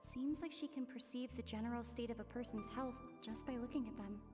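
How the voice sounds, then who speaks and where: Actress as a game investigator, higher voice, earnest, matter-of-fact, reverberant, music behind. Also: female voice, a little fast, far from the mic, close to an everyday voice. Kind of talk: video game voice acting.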